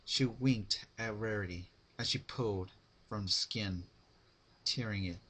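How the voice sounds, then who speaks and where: A man narrating fan fiction as a dramatic reading, with deliberate phrasing. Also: lowish voice, pushed and bright, husky.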